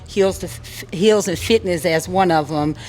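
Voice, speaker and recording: A woman's voice: on the low side, normal speed, a little hiss or hum, poor audio. A middle-aged woman, a salon owner speaking supportively and a bit loosely on a panel. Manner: warm, supportive, loose and rambling. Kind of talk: panel talk.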